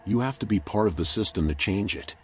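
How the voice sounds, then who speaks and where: A low, world-weary voice for a raccoon private eye in a noir video game, acted by a man. He is terse and guarded, with a dry, cynical way of talking. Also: animated, brisk, soft background music, close to an everyday voice.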